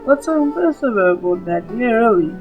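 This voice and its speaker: A woman's voice: on the high side, expressive, normal speed, phone-quality sound, over music. A woman giving offhand, casual gameplay commentary, leaning heavily on filler words. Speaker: game streamer.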